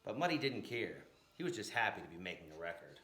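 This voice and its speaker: Animated male storyteller in a roomy space. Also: deep voice, fast. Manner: dramatic, emphatic, rhythmic phrasing. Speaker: storyteller reading a picture book aloud, blues educator. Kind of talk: expressive scripted storybook narration. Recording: roomy, echoing room sound.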